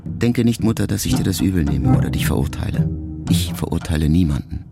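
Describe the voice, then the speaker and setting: A middle-aged man reading a literary memoir aloud in a measured, reflective first-person voice. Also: low-pitched, expressive, creaky, over loud music. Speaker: audiobook narrator. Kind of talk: scripted literary narration.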